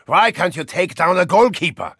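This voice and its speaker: An actor playing a boisterous German soccer-hooligan brawler with a soft side, in a bombastic, comically theatrical video game style with a German accent. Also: male voice, sits high, lively intonation, normal speed, slightly rough, dry recording, clean studio sound, heavily acted. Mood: blustering, chatty. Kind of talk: animated video game character lines.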